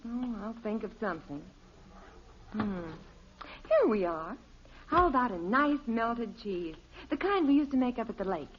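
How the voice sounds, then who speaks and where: A sensible American housewife in a vintage radio sitcom. Her fairly high, lively voice is dry, patient and gently scolding. The sound is muffled and old. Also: moderate pace. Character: sensible, gently scolding suburban wife and mother. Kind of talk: 1950s radio sitcom acting. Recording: muffled, faintly noisy old radio recording.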